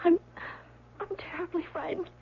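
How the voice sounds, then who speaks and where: A woman's voice: very high-pitched, fairly even intonation, moderate pace, gravelly. An actress playing a sweet, anxious young woman, girlish, in 1970s radio drama style. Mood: frightened, pleading. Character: sweet, anxious nineteen-year-old sweetheart, girlish and pleading. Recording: tinny, phone-like old radio broadcast.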